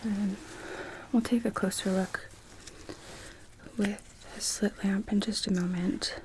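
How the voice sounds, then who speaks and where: A woman speaking in a soft, breathy whisper, gently and unhurriedly narrating each step as an optometrist in an ASMR medical roleplay. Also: mid-range voice, fairly even intonation, slow pace, background noise. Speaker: ASMR performer playing an optometrist.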